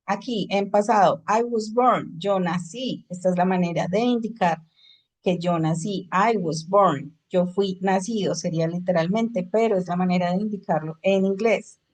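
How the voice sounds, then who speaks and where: A woman's voice: medium pitch, normal speed, darkish tone. Woman teaching an English class. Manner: explains in Spanish, switches to English for examples. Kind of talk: online class lecture.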